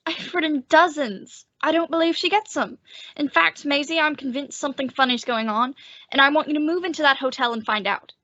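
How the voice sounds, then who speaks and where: A woman's voice: high voice, fairly quick, pushed and bright, no echo. A woman playing a desperate, lovesick husband with urgent, earnest pleading, in old-time radio drama style. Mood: worried. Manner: urgent, imploring delivery. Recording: dull lo-fi close dry recording.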